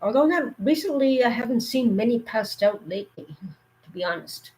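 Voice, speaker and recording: A woman with a cold, her voice going hoarse, giving earnest safety warnings in a rambling, casual live stream. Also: on the high side, expressive, normal speed, a little mellow, rough recording.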